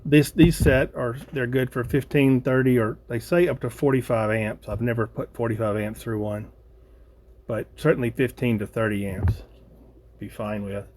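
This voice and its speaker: Halting American man thinking aloud. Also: medium pitch, fairly even intonation, a little mellow, a little hiss or hum. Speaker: hobbyist video host. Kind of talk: casual unscripted project walkthrough.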